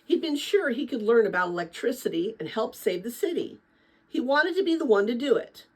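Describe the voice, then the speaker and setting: Expressive woman reading aloud, slightly husky. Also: fairly high pitch, a little fast, rough recording. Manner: expressive, chatty when commenting to listeners. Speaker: read-aloud narrator. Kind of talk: dramatic children's book read-aloud with casual asides.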